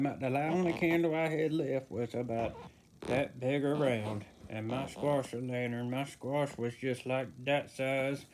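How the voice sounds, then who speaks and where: A man's voice: medium pitch, lightly acted. A man voicing a rambling, easily flustered old folksy storyteller who halts and repeats himself in a comic tall tale. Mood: folksy storytelling. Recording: noisy home recording with clicks.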